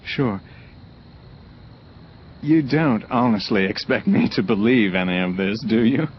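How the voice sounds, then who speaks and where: A man's voice: lowish voice, unhurried, natural voice. An actor playing an uneasy, skeptical young man, musing casually and hesitantly. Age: young adult. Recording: dull lo-fi audio, faint background noise.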